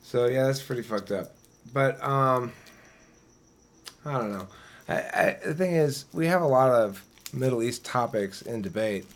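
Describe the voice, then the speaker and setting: A man's voice: medium pitch, lively intonation, unhurried. A man speaks in a casual chat, opinionated and easygoing, and he swears freely. He argues his views in a loose, rambling way. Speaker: debater in conversation.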